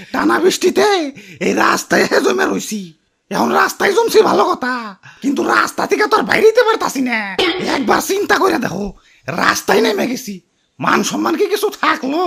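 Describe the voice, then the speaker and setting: A man's voice: extremely high pitch, sing-song, fairly quick, vocal fry, fairly bright tone, heavily acted. A man voicing a self-pitying, hot-tempered puddle of floodwater in a comic cartoon, whining melodramatically in a Bangladeshi accent. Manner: whiny, melodramatic complaining.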